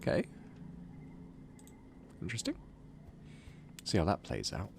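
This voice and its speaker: A man's voice: deep voice, wildly swooping pitch, measured pace, creaky, a little hiss or hum. A man doing casual game commentary, thinking aloud in a hesitant, rambling way. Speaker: video game let's player.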